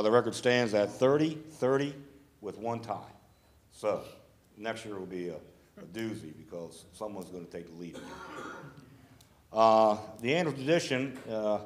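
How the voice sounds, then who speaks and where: Low-voiced man chairing a council meeting. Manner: brisk, plain-spoken, with frequent fillers. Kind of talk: formal meeting chair with announcements. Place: council chamber.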